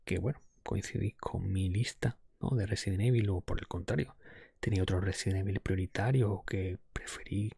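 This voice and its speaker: A man murmurs very close to the mic in a dry, intimate ASMR recording. His low voice slips between soft talk and whisper, very breathy and husky. He rambles gently through his opinions as a podcast host. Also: normal speed, dry recording. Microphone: close microphone.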